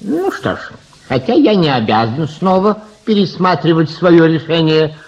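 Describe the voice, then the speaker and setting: High-pitched, fussy, dithering judge. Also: male voice, sing-song, a little mellow. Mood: courteous. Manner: hesitant, pompous, fussily polite, halting. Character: flustered, patient, courteous old-fashioned male judge. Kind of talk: comic radio play acting. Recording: dull, lo-fi radio recording.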